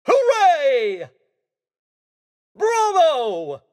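A man voicing a theatrical, excitable skeleton gentleman in a racing video game, with courteous, exclamatory delivery. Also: extremely high pitch, very slow, full character voice. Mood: gleeful. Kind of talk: video game character voice clips.

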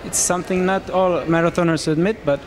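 A man in his thirties from Romania, speaking English, answering interview questions into a handheld mic in a small room, with halting phrasing and frequent restarts. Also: high voice, fairly even intonation, a little hiss or hum. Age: 30s. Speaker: marathon runner interviewee.

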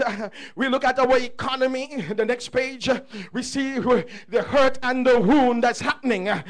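A male preacher with a very high-pitched voice delivers an impassioned sermon to his congregation. He exhorts and repeats phrases, prompting responses as he goes. His delivery is halting, with deliberately drawn-out words. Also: fairly bright tone. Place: church service before a congregation.

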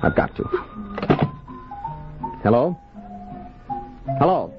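A wary American husband on the run in a vintage radio play. His mid-pitched voice is tense and expressive, on a dull old recording with music. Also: extremely slow. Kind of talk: 1950s radio drama acting.

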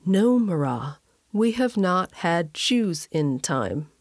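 A woman voicing a calm, matter-of-fact female alien squid, a first officer in a sci-fi audio story. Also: lowish voice, slow pace, dry recording, clean studio sound, exaggerated voice.

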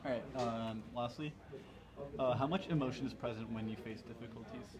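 Brisk male interviewer. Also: medium pitch, a loud crowd. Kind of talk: reading interview questions.

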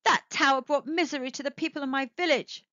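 Woman doing a young stonecutter, male, high and earnest, stammers a little, dull dry audio. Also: quick pace, bright, forceful tone, no room sound. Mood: resolute. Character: young male stonecutter, eager then anguished.

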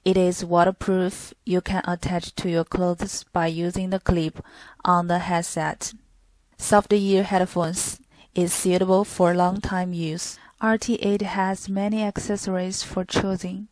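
A woman's voice: fairly low pitch, level tone, a little slow, a little mellow, no room sound, low-quality recording. A woman reading scripted product demo narration in a stilted, word-by-word manner.

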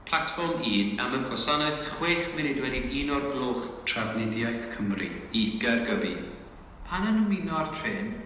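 A man's voice: medium pitch, lively intonation, normal speed, sharp tone. A man reads formal automated railway station announcements in clipped, pieced-together phrases over a public-address system. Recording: distant echoing station PA, faint noise.

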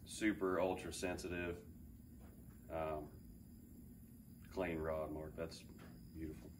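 A man in his thirties with a low voice talks through gear in a relaxed, eager way, with plenty of fillers. He is picked up by a camera several feet off in a roomy open garage. A faint hiss of ambience sits under him. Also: slow, far from the mic. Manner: relaxed, enthusiastic, thinks aloud with fillers. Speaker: fishing channel host. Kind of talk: casual product review. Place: large open garage with a boat. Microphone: camera several feet away.

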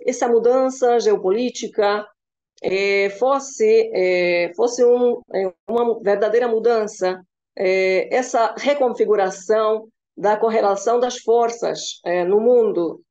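A woman's voice: a little high, warm tone. Hesitant woman with Hungarian-Cuban accent. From Cuba. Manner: hesitant, many filler sounds. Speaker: professor and researcher, interview guest. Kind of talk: interview answer. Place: room with curtains indoors. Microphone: webcam on video call. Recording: slightly dull webcam audio.

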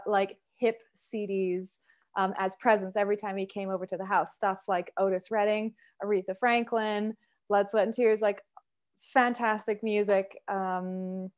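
A woman in her thirties from Canada, a singer and sax player, chatting as a guest on a video call from home in an expressive, storytelling way. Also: mid-range voice. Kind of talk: casual interview chat. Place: home room, indoors.

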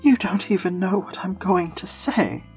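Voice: medium pitch, a little slow, a little mellow, soft background music, natural voice. A performer voicing an anxious, earnest younger man confiding in his lover, in an audio fiction drama. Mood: nervous.

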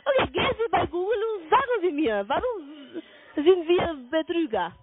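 A woman with a foreign accent in German on a sales call, halting and insistent with her questions. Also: extremely high pitch, sing-song, heavy background noise. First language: not German. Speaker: call center sales agent. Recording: narrow-band phone line.

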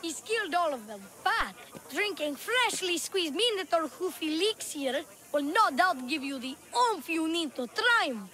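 High-voiced cheeky young boy hustler. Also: big pitch swings, measured pace, pushed and bright, exaggerated voice. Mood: glib salesmanship. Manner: glib salesman patter, feigned innocence. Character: cheeky young boy tour guide, sly con artist. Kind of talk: video game character acting.

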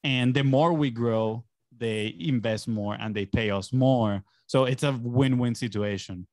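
A young man from Venezuela whose first language is Spanish chats casually on a podcast. His pitch sits in the middle for a man. He is close on the microphone, and the sound is dry, with hardly any room on it. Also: unhurried, darkish tone, no echo. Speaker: podcast guest, agency entrepreneur. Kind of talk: casual conversational storytelling. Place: small home studio indoors. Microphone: close boom-arm podcast microphone with headphones.

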